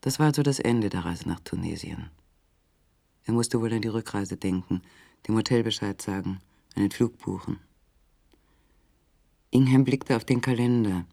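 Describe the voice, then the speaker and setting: An actor narrating a literary German radio play as a sober, measured third-person storyteller. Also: male voice, fairly low pitch, gravelly, dark tone.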